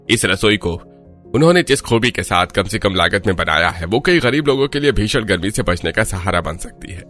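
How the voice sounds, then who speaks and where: A man's voice: mid-range voice, a bit bright, close to an everyday voice. A man playing a genial town councillor speaking formally in a cartoon. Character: genial male town councillor making an official announcement.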